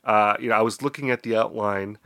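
Male podcast host, congested, rambling, bright forceful tone, dry close sound. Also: on the low side, steady tone, fast, dry recording. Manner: rambling, self-deprecating. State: congested, getting over an illness. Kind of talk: casual chat.

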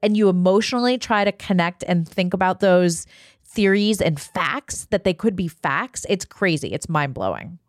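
A woman's voice: lowish voice, animated, normal speed, no room sound, studio quality. A woman co-hosting a podcast in casual, conversational chat. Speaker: podcast co-host.

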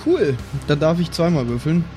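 A man's voice: on the high side, sing-song, moderate pace, warm tone. A man casually chatting and joking as a player in a tabletop role-playing game. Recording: music playing behind the voice. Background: background music.

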